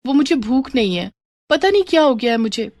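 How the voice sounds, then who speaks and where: A young daughter-in-law in a family story, as a woman acts her, secretive and evasive. Her voice is very animated and expressive, recorded dry. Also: high-pitched, brisk, no echo. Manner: expressive, excuse-making. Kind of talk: moral story voice acting.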